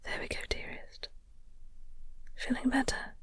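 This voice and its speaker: A breathy, half-whispered English woman played by a voice actress, a flirty office rival with a tender streak. She takes her time, teasing and soothing in a genteel, halting way, as in an intimate audio roleplay. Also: no room sound, natural voice. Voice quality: soft, breathy. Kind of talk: intimate audio roleplay, soft comforting ASMR acting.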